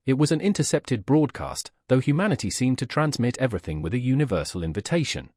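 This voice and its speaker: A man's voice: medium pitch, a little mellow, no echo, studio quality. A man narrating a scripted science fiction story in a wry storytelling style.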